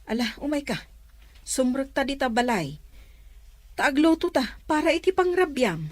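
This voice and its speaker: Fussing aunt, acted by a woman, slightly high voice, dry and close. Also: expressive, moderate pace, no echo. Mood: caring. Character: caring, exasperated aunt. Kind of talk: Ilocano comedy-drama acting.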